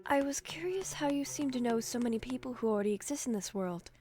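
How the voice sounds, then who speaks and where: A woman's voice: a little high, animated, fast talker, darkish tone, lightly acted. A woman playing a polite, deferential, wistful young female genie in a cartoon comic dub, with formal, courteous phrasing. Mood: curious. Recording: music behind the voice. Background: music.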